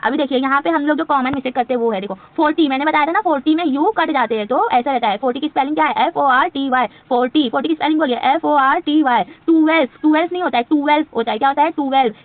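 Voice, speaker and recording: A woman teaching spoken English, asking quiz questions and answering them herself, and drawing out her English example sentences slowly. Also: sits high, no room sound, narrow, tinny audio. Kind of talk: spoken English lesson for Hindi speakers.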